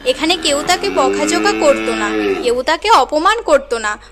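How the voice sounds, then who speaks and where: A woman's voice: high-pitched, very animated, unhurried, over loud music. A woman giving scripted narration for a cartoon story as its narrator.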